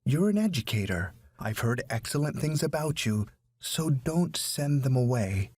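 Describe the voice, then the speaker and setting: A man voicing a proud, dignified widowed foster mother in a storybook, speaking in an earnest, measured, emphatic way. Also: medium pitch, very animated, darkish tone, slightly rough, no echo. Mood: pleading. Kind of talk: audiobook story character.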